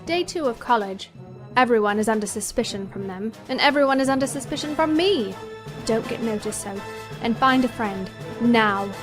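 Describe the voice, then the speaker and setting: A woman acts as a seventeen-year-old girl in a sci-fi audio drama, high-voiced and bewildered. She tosses out dry, sardonic remarks over faint music. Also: normal speed, natural voice. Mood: guarded.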